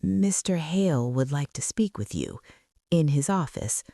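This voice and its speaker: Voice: mid-range voice, lively intonation, a little slow, dark tone, dry recording, studio quality. A narrator voicing a controlled, guarded billionaire boss and single father in an audiobook. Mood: curt, controlled.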